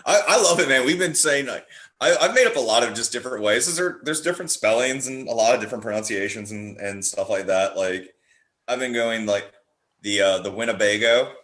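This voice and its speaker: A man's voice: mid-range voice, lively intonation, brisk, a bit bright. A man talking casually as a podcast guest, rambling and jokey in the way he tells things. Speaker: guest, horror playwright. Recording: roomy, echoey sound.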